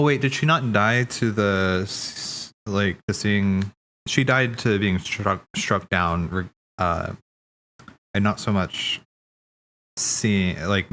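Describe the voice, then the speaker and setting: A man's voice: on the low side, a bit bright, slight background noise. A man chatting casually on a livestream as he watches game cutscenes, rambling and thinking aloud.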